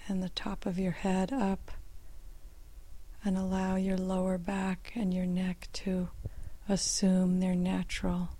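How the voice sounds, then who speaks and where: A woman guiding a meditation with gentle, soothing, unhurried instructions and long pauses, in a soft and at times breathy voice. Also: on the low side, completely flat delivery. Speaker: meditation guide. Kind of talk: guided meditation.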